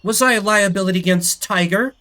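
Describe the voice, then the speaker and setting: A man's voice: high voice, fairly quick, sharp tone, pitched above the performer's own voice. A man voicing an earnest, eager young female mouse who pleads to tag along in a video game. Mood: pleading.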